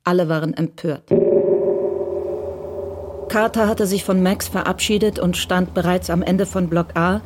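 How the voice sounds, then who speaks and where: A woman narrating a radio crime drama in a calm, measured way. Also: lowish voice, slight background noise.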